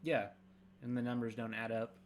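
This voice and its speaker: A man's voice: mid-range voice, level tone, a little hiss or hum. Casual, rambling young man. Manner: rambling, halting, many fillers. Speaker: podcast co-host. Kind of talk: casual chat, reading script aloud.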